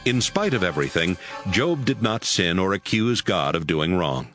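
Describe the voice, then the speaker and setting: A man's voice: low-pitched, big pitch swings, normal speed, fairly bright tone, faint music behind. A man narrating a dramatized Bible as a scripted storyteller.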